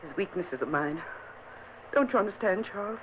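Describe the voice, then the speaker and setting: A woman's voice: mid-range voice, lively intonation, normal speed, lightly acted. Casting: a woman voicing a dying lady of the manor, fragile and pleading, heard over a thin phone-like radio line with hiss. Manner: breathy, pleading, intense. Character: frail, feverish young noblewoman in dread. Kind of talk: vintage radio drama acting. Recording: tinny, hissy old radio recording.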